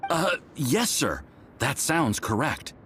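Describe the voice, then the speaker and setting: A man's voice: mid-range voice, big pitch swings, fairly bright tone, a little hiss or hum. An actor playing a keen, deferential young male research assistant in a game cutscene. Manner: eager and deferential, quick to say sir.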